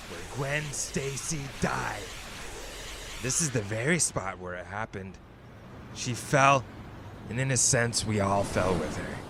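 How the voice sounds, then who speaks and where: A man acting an unhinged, grieving villain, noisy recording. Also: fairly low pitch. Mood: menacing, wild. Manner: melodramatic, intense. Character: unhinged grieving male villain, swinging between menace and tender pleading. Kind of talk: audio drama acting.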